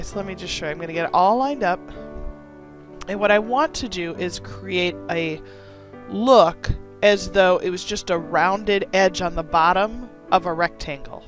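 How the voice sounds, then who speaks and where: Hoarse, gushing woman craft host. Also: mid-range voice, moderate pace, over music. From Wisconsin, USA. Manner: enthusiastic, gushing. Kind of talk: casual card-making tutorial.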